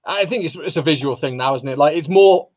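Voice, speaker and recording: A man's voice: fairly high pitch, brisk, a little mellow. A man, hosting a casual video-call interview, chatty and agreeable. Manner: chatty, agreeable, leading questions. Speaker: interview host. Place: indoor room with banner behind. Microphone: video call webcam.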